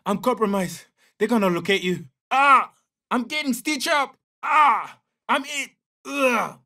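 An actor with a French accent playing a confident young special forces soldier in a military shooter game, giving terse, clipped callouts. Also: male voice, extremely high pitch, lively intonation, a little slow, pushed and bright, recorded from a distance, full character voice. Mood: pained, alarmed. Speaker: video game operator.

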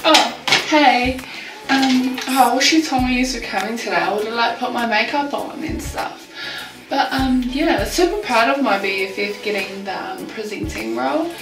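A woman's voice: on the high side, normal speed, recorded from a distance, music in the background, natural voice. A woman acting a vain, jealous young New Zealand best friend in a comedy sketch, ditzy and self-absorbed, in an echoey room.

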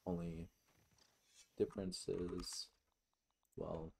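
A man's voice: low-pitched, little pitch movement, extremely slow, creaky, darkish tone, slightly rough. A man giving casual, sweary game commentary, muttering to himself as he plays. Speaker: gaming streamer.

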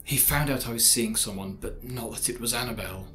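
A man playing a formal, guarded college teacher in an audio drama, choosing measured words. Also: mid-range voice, bright, forceful tone, lightly acted. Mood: defensive. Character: male college science teacher, defensive, formal, secretive adulterer. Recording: music behind the voice.

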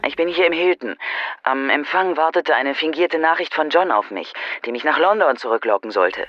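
A woman's voice: sits low, animated, normal speed. An actress playing a concerned, businesslike female investigator on the telephone in an audio drama. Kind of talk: radio drama phone dialogue. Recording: dull telephone line.

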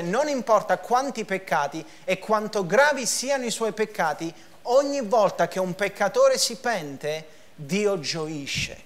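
High-voiced male preacher, emphatic. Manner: emphatic preaching with repetition and gestures. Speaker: pastor. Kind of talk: sermon. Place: church hall, in front of a projection screen.

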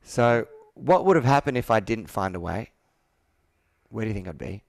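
A man's voice: fairly low pitch, big pitch swings, normal speed, creaky, no room sound, clean studio sound. A man in his thirties working as a sales coach, talking persuasively and assertively, with probing rhetorical questions. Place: indoor office with a flip chart, on a video call.

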